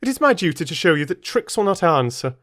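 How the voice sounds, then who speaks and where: A stern aristocratic lady, put on by a male reader, hands down orders in a clipped, peremptory tone, raised well above his usual pitch. The recording is dry and close. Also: big pitch swings, quick pace, fairly bright tone, dry recording. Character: stern, cold aristocratic aunt.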